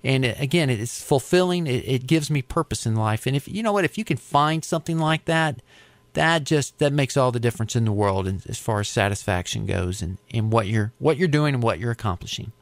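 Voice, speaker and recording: A middle-aged man with an American accent, telling his experiences in a conversational interview in a reflective, explanatory way with halting phrasing. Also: mid-range voice, animated, no echo. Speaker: interview guest, aviation safety advocate.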